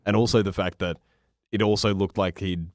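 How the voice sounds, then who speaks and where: A man's voice: deep voice, steady tone, brisk, darkish tone, no echo, studio quality. A man in a casual review chat, analytical and a little hesitant as a podcast guest.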